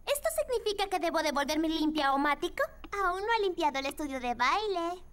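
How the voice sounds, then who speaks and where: A woman voicing a polite, sincere, eager little girl in an animated children's series dub, with expressive delivery. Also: very high-pitched, brisk. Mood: hesitant, sincere. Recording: prominent music behind the voice.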